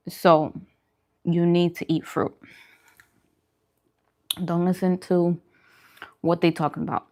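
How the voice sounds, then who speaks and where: A woman's voice: fairly low pitch, a little mellow. A woman vlogger chatting casually with viewers, giving emphatic, opinionated food advice and repeating phrases for emphasis. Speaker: vegan food vlogger hosting a mukbang. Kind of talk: casual livestream chat answering viewers.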